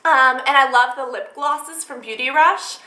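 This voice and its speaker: A young woman with a high, very bright voice talks casually as a vlogger answering questions. She rambles in an animated, chatty way. The room around her gives the recording a clear echo. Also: a little slow. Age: young adult. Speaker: beauty and lifestyle vlogger. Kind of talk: casual chat answering tag questions to camera. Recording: echoey room.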